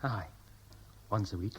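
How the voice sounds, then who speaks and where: A man's voice: on the low side, slight background noise. An actor playing an eager, dutiful, unworldly Welsh groom in his early twenties, boyish and hesitant, in a 1960s radio drama. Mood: cheerful, easy. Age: young adult.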